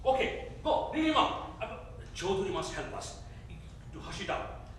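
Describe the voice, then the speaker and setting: A man's voice: sits high, sing-song, moderate pace, a bit bright. A man playing a brusque, self-serving father in a staged reading, commanding and impatient. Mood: urgent, scheming. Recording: echoey theater, distant mic, faint noise.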